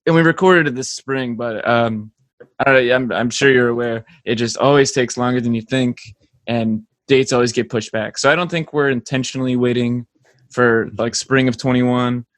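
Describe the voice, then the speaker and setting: A man's voice: medium pitch, steady tone, moderate pace, no echo. A young American man chatting casually as a band member being interviewed.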